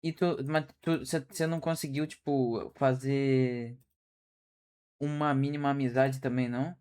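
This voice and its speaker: A man's voice: mid-range voice, steady tone, measured pace, a little mellow, smooth. A young man streaming a reaction, talking casually with slang and frequent restarts. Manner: hesitant, restarts often, slangy.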